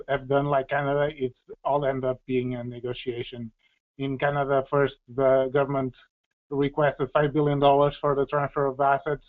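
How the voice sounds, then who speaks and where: Halting man explaining, tinny phone-like line. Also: mid-range voice. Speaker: policy expert answering questions. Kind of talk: explanatory panel Q&A. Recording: tinny, phone-like band-limited audio.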